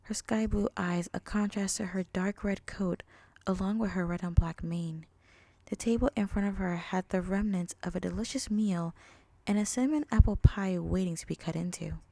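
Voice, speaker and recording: Mellow, creaky woman narrating a story. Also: mid-range voice, normal speed, no room sound. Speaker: narrator and author.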